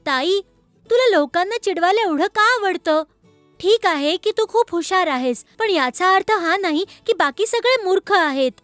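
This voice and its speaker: Very high-pitched, earnest little sister. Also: female voice, moderate pace, dry recording, faint music behind. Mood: annoyed. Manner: earnest, expressive delivery. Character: earnest, kindly young little sister Gita. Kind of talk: children's cartoon dub.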